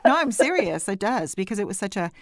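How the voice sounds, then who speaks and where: A woman from California hosting an interview, chatty and often laughing, with some vocal fry. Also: mid-range voice, big pitch swings, fast, dry recording, studio quality. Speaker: podcast host. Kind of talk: conversational interview.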